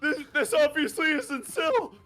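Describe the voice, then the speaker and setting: A woman with a very high, lively voice plays at a tabletop game. Her banter is casual and playful, over quiet background music. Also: measured pace. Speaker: player in a streamed tabletop game.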